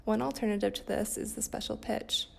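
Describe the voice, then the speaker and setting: A woman's voice: medium pitch, fairly even intonation, fairly quick, vocal fry, a little mellow, slightly rough. A woman working in PR, giving a scripted explanatory answer for a company podcast. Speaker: PR account manager.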